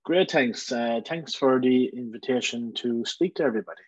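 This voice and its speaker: A man with an Irish accent giving an online talk as a guest photographer, relaxed with frequent ums. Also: mid-range voice, normal speed, dry recording. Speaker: guest speaker, landscape photographer. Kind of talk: online lecture. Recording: dry, slightly dull sound.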